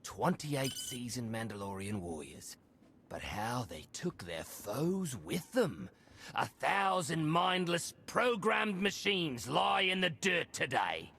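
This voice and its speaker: A scornful veteran warrior boasts in a gravelly voice. His pitch rises and falls with relish, and faint ambient noise sits behind him. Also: very animated, takes it slowly, sharp tone, full character voice. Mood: boastful. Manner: boastful and mocking.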